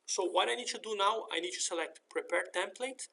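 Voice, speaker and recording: Bright, high-pitched man giving a tutorial. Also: fairly quick, gravelly, a little hiss or hum. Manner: explanatory, methodical. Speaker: software tutorial presenter. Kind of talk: step-by-step screen tutorial narration. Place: voice-over for a screen recording.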